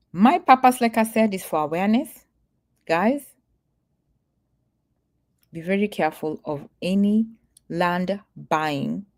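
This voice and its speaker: A woman's voice: deep voice, a little mellow. A woman from Kenya talking casually to her livestream viewers, earnest and emphatic, repeating her warnings and laughing easily. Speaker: vlogger.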